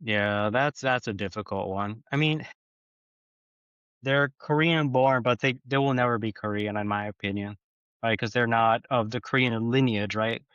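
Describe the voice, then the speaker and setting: A man's voice: medium pitch, normal speed, a bit bright, smooth, no echo, highs cut off. A man from the United States, a podcast guest talking in a casual, opinionated way and often checking in with "right?". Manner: opinionated, frequent "right?" tags. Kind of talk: casual conversation.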